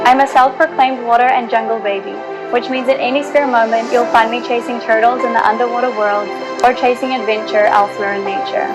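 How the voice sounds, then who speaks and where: A woman's voice: on the high side, rough recording. A woman giving a scripted self-introduction as a pageant contestant. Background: prominent music.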